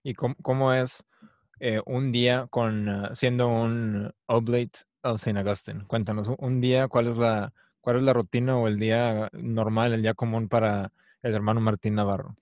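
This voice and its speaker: A man hosting a faith interview, asking measured, polite questions and closing the episode. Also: mid-range voice, level tone, a little mellow, dry recording, clean studio sound. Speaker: host, interviewer. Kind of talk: interview and channel outro.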